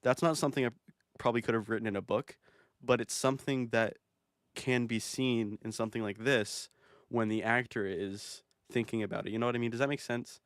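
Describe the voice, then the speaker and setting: A man's voice: medium pitch, fairly even intonation, a little fast, dry recording, studio quality. A man casually commenting on his own music video, rambling and self-amused with plenty of fillers. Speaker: video creator.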